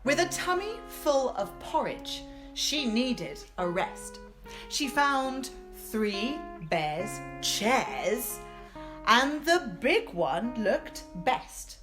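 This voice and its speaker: A woman telling a rhyming children's story in a theatrical, expressive way. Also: high-pitched, extremely slow. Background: guitar music.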